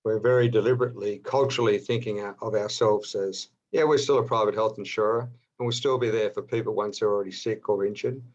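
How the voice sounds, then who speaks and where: A middle-aged Australian man speaking unscripted on a panel as a health insurance executive, halting and discursive, often rephrasing mid-sentence. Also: fairly low pitch, fairly even intonation, lo-fi sound.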